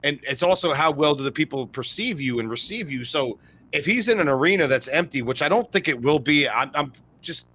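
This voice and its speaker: A man co-hosting a casual sports podcast, talking in an animated way and laughing often. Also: a little high, husky. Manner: animated, laughs often, breaks into quick impressions. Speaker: podcast co-host.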